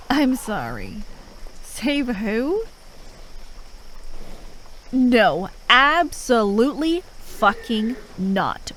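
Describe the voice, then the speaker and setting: Woman acting fantasy female operative, exasperated, sardonic, reluctantly caring, slow delivery, very animated intonation, audio roleplay. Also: medium pitch, slight background noise. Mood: urgent, wry, then angry, exasperated. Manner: sardonic, exasperated, pausing between short phrases.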